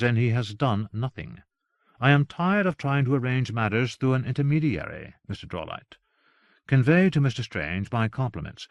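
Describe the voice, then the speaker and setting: A man voicing a lonely, bitter wealthy lady who speaks coolly and measured. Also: medium pitch, no echo, natural voice. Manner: cool, clipped and measured.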